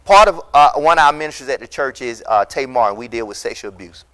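A middle-aged man preaching a recorded sermon as pastor in an empty church hall, impassioned and emphatic, rising to loud peaks, on a lapel mic. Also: mid-range voice, expressive, quick pace.

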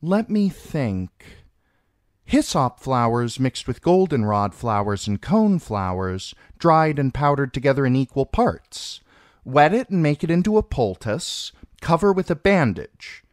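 A man's voice: mid-range voice, big pitch swings, a little slow, no echo, studio quality, pitched above the performer's own voice. A man voicing an earnest young girl pupil in a storybook, reciting carefully. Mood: earnest, concentrating. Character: earnest young girl learning herbal healing.

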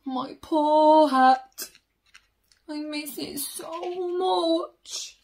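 Woman voicing a forlorn, polite storybook bear, high-pitched. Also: very slow, darkish tone, full character voice. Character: big polite forlorn bear searching for his lost hat. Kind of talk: children's picture book read-aloud.

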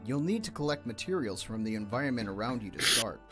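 A man's voice: mid-range voice, expressive, fast, fairly bright tone. A man presenting a game with scripted promotional narration as its community manager. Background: faint guitar music.

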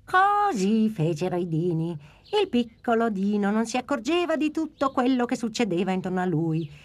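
A woman narrating a children's cartoon in a calm, gentle storytelling manner. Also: mid-range voice, normal speed.

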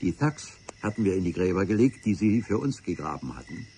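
Mock-solemn memoir narrator for radio adventure; literary phrasing, mellow tone, slightly deep. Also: male voice, very animated, a little fast, slightly rough, heavily acted. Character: grave male memoir narrator, a politician recounting his adventure. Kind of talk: scripted radio play narration.

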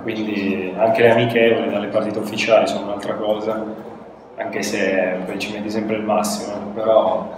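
Young man, flat and matter-of-fact. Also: lowish voice, normal speed, background noise. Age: young adult. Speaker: football player. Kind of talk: post-match press conference. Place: press room with a sponsor backdrop. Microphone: table mic on a stand. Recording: echoey room, mic at a distance.

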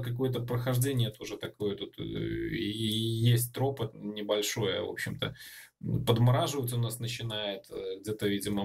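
A man, an amateur radio operator, talking casually and a little haltingly during a contact, recorded indoors in his home radio room. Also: fairly low pitch, vocal fry. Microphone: handheld camera filming the radio.

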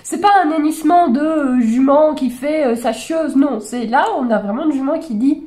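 A woman in her forties, slightly hoarse from a cold, giving exasperated, incredulous reaction commentary to videos from her bedroom desk, with many fillers and restarts. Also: high voice, moderate pace, darkish tone. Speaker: horse channel host reacting to videos. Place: small bedroom with gaming chair.

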